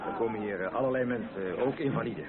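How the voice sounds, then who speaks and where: An actor playing a polite, slightly stiff restaurant waiter in a radio play, formal and a little hesitant. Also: male voice, fairly low pitch, quick pace, over a noisy crowd, lightly acted. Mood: apologetic, formal. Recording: thin phone-like band, loud music.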